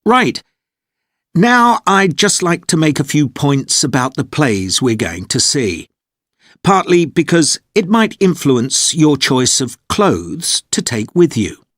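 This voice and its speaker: An organised tour leader, courteous and measured, with a fairly high, lively voice. He explains arrangements politely in a scripted briefing, recorded close and dry. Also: dry recording, full character voice. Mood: polite, informative. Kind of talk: scripted informational monologue for an English listening test.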